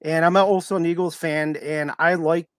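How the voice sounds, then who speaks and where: A man in his forties hosting a casual sports talk show, speaking in a halting but keen fan's manner. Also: on the high side, fairly even intonation, clean tone, dry recording, clean studio sound. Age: 40s.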